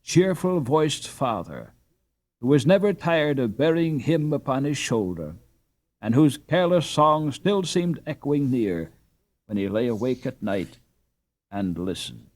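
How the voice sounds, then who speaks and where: A man narrates a classic children's storybook. His voice sits at a middle pitch for a male reader. The delivery is scripted audiobook storytelling. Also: sing-song, a little slow, a little mellow.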